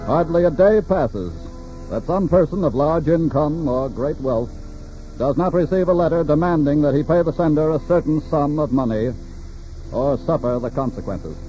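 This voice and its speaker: A dull vintage broadcast with music carries a male announcer. His voice is dark and mellow at an ordinary male pitch. He delivers scripted narration gravely and dramatically, in classic 1940s radio style. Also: lively intonation. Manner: grave, dramatic, measured phrasing. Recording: muffled 1940s radio recording.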